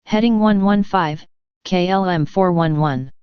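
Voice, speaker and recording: A woman's voice: lowish voice, measured pace, clear, resonant tone, no echo. Woman on atc radio, really clean voice, short numbers and callsigns, dry and muffled. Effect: radio transmission. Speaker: pilot or controller on air traffic radio. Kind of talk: clipped aviation radio phraseology. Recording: dull, band-limited air traffic radio.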